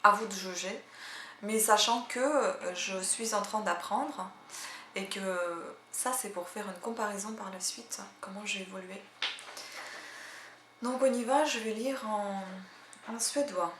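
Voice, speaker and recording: Woman, casual halting talk with many fillers, echoey room, faint background hum. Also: medium pitch, fairly bright tone. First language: Russian. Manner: chatty, hesitant, full of "euh" fillers. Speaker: language-learning channel host. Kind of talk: casual spoken language tips and read-aloud practice.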